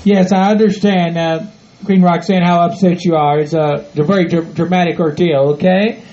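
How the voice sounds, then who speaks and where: A woman's voice: fairly low pitch, fairly even intonation, normal speed, dark tone, natural voice. A woman voicing a formal male servant who keeps reassuring everyone in a stiff, soothing way. The recording sounds dull and lo-fi. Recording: dull lo-fi audio.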